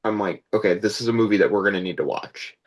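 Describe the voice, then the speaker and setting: Male participant, fairly low voice, casual chat, conversational opinion-giving. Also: fast talker, slightly rough. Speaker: table read participant.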